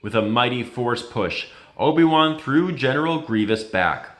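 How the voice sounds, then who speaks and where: Expressive male storybook reader. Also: medium pitch, measured pace. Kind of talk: children's storybook read-aloud.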